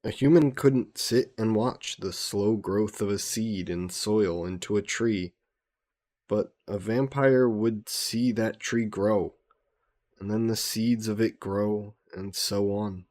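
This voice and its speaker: A man playing a gentle, wistful immortal vampire scholar in an intimate audio roleplay, courteous and reflective, musing with hesitant pauses. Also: lowish voice.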